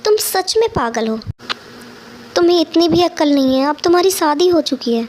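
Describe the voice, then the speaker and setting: Casting: stern, bossy elder sister-in-law for a cartoon tale, voiced by a woman, high and chiding, slight background noise. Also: animated, normal speed, darkish tone. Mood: chiding concern. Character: strict, scolding elder sister-in-law with soft heart. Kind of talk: cartoon moral story dialogue.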